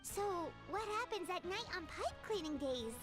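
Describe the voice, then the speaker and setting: A woman voicing a small, childlike fairy companion in a video game, squeaky and chatty, easily worried and speaking of herself in the third person. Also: big pitch swings, normal speed. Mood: curious, chatty. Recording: loud game music behind.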